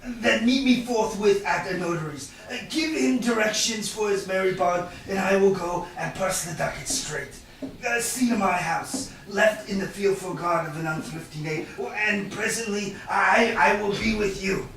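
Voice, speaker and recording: Man acting a high-voiced bitter moneylender, echoey room. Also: moderate pace, fairly bright tone. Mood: wry, reasoning. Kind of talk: staged reading of Shakespeare. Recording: distant, echoey room with background noise.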